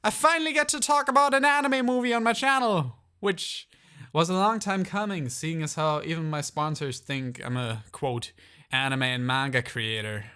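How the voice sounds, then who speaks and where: A man delivering scripted video essay narration as a channel host, with animated, theatrical asides. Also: on the high side, normal speed, smooth.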